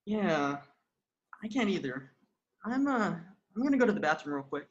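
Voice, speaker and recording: A woman playing an earnest, excitable young human in a comedy table read. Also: on the low side, normal speed, dull audio. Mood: uneasy.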